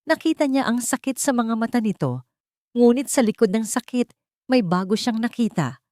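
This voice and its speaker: A woman narrating a scripted dramatic story in a solemn, deliberate, suspenseful way. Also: on the high side, expressive, mellow, no echo, clean studio sound.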